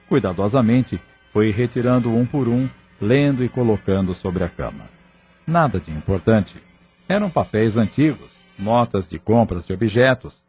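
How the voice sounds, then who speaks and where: A man narrating a scripted audio novel in a measured, dramatic storytelling manner. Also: on the low side. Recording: muffled, lo-fi track with music. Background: clear background music.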